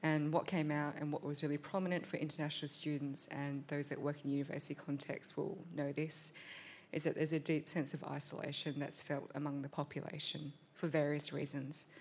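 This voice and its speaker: A woman speaking on a panel, thoughtful and measured, with frequent pauses and ums. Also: low voice, slight background noise. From Australia. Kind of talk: panel discussion.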